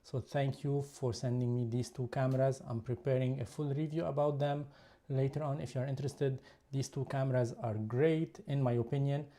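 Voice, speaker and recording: A man's voice: medium pitch, fairly even intonation, normal speed, dark tone. A man from Lebanon with a Lebanese accent, whose first language is Lebanese Arabic, hosting a casual photography live stream and chatting while he shows his camera gear. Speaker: YouTube photography channel host.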